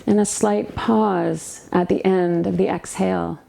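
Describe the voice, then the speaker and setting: A woman leading a guided yoga nidra meditation as a relaxation guide, giving soothing, gentle instructions with pauses between phrases. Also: fairly low pitch, steady tone, gravelly.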